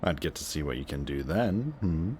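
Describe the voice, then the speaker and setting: A man's voice: a bass voice, animated, normal speed, a little mellow, a little hiss or hum. A young man playing a cocky, sarcastic antihero villain with a secretly kind streak, talking in casual, teasing banter in a roleplay audio drama. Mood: casual, coaxing. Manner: casual, sardonic, teasing banter with muttered asides.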